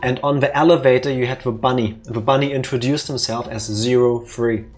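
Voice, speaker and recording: A let's-play co-host speaking in a middle-pitched voice over faint game music. Reads on-screen text aloud in a dry, joking way and chats casually between puzzles. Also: steady tone, fairly quick. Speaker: co-host playing a video game.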